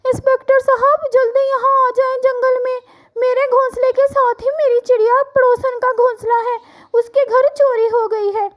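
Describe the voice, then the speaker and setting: A woman's voice: very high voice, flat delivery, moderate pace, voice pushed up. A woman voicing a kind male parrot neighbour in a children's cartoon story, reassuring and urgent.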